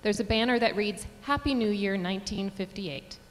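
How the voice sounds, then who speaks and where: A woman's voice: normal speed, faint music behind. A woman reading stage directions as scripted narration for a staged reading. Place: performing arts theatre. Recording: echoey theatre hall, rumbling noise.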